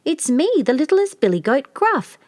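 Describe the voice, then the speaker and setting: A woman storyteller puts on the littlest billy goat of a fairy tale. His little voice sits high and swoops as he pleads, timid and polite. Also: sing-song, normal speed, darkish tone, no echo, studio quality. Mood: frightened pleading.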